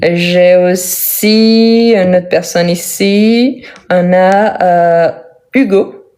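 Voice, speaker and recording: Low, mellow-voiced Brazilian-born woman teacher. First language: Portuguese. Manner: slow, careful, simple speech for learners; chatty, repeats words for emphasis. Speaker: French teacher. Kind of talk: live-stream French lesson.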